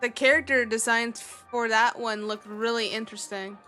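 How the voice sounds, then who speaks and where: A young woman streamer reacting to a game, giggly and animated. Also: fairly high pitch, faint music behind. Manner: giggly, animated, slow and drawn-out.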